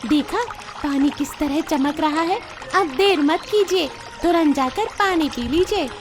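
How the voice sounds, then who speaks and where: A woman's voice: high-pitched, unhurried, over music. A woman voicing a clever, sly fox with a coaxing manner in a children's animal story.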